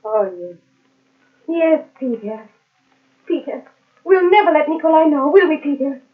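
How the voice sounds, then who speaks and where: Very high-voiced, frightened, pleading lover. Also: female voice, lively intonation, unhurried. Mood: anxious tenderness. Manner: breathless, imploring, melodramatic. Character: frightened, pleading unfaithful woman in love affair. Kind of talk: old-time radio melodrama acting. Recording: tinny, narrow-band old radio recording.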